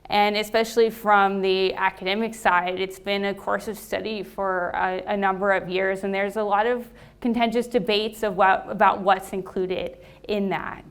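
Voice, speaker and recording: A woman's voice: mid-range voice, flat delivery, moderate pace, hardly any pauses, clean tone. A woman from Los Angeles with an American accent, speaking to a seated meetup audience, with frequent ums and restarts. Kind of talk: live talk to an audience.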